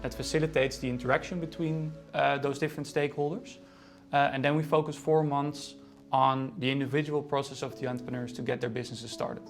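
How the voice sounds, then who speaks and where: A man's voice: mid-range voice, steady tone, fairly quick, soft background music. A man from the organization's team explaining how their programs work in an interview, matter-of-fact with frequent fillers. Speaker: organization staff member.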